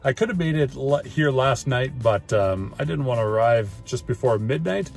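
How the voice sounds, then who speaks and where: A man's voice: medium pitch, expressive, moderate pace, vocal fry, a little mellow, soft background music. Chatty man vlogging from his car. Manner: chatty, enthusiastic, many fillers. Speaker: EV road trip vlogger. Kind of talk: casual vlog talk to camera. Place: inside a parked car. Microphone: phone camera at arm's length.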